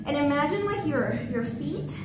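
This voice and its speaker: A woman's voice: sits high, expressive, normal speed. A woman in her thirties giving casual stretching instructions. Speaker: stretching class leader. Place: mirrored gym studio. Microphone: camera a few metres away. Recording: echoey noisy room, distant mic, loud music.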